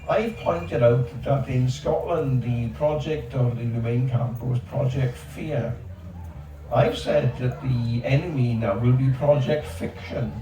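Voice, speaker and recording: A man's voice: mid-range voice, warm tone. An older man on a discussion panel, speaking emphatically and repeating words for stress. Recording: echoey outdoor space from far off, background noise.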